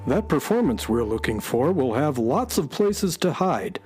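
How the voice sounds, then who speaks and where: An actor playing a gentle, droll space horse sidekick in a children's radio drama, with a dry, mildly formal manner. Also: male voice, mid-range voice, sing-song, measured pace, soft background music. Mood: wry, thoughtful.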